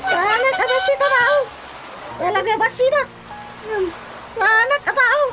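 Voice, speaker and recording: A woman voicing a teasing little creature with a squeaky, childlike voice in a radio comedy. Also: measured pace, poor audio. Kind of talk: comedic radio drama acting. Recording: loud music bed under voice. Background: loud music.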